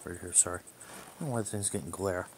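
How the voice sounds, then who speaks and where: Low-pitched man, casual and hesitant, noisy recording. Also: quick pace. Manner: hesitant, rambling. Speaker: hobbyist video reviewer. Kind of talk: casual unscripted product overview.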